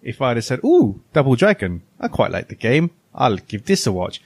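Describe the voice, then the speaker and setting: A man's voice: medium pitch, big pitch swings, normal speed, husky, dry recording. A man co-hosting a podcast in casual, conversational discussion. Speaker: podcast co-host.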